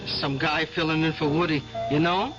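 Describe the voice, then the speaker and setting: An actress playing a friendly, chatty cocktail lounge waitress in a 1970s radio drama, brisk and breezy. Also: female voice, sits low, fairly even intonation, natural voice. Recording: dull lo-fi radio broadcast with music.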